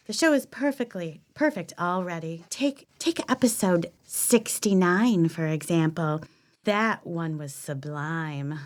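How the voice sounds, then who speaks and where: A woman's voice: on the low side, animated, slow pace. A woman acting an audio play role as an effusive, breathless, flirtatious superfan of a cooking show. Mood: gushing.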